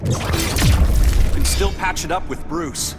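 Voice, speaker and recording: A man's voice: fairly high pitch, slow pace. A man playing a principled, upbeat superhero in a video game, casual but resolute. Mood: eager, earnest. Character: earnest, principled, upbeat speedster hero. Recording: loud music behind.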